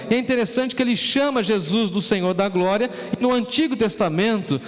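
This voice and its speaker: A Brazilian man with a São Paulo countryside caipira accent, preaching a sermon in a conversational way and calling on congregation members by name. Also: sits high. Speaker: pastor. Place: church sanctuary. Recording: church hall reverb.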